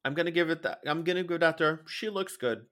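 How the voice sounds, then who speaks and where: A man's voice: on the high side, quick pace, a bit bright. A man co-hosting a recap podcast, chatting casually in a campy, sassy, exclamatory way and swearing freely. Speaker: podcast co-host. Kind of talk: casual comedic recap chat about a drag competition show.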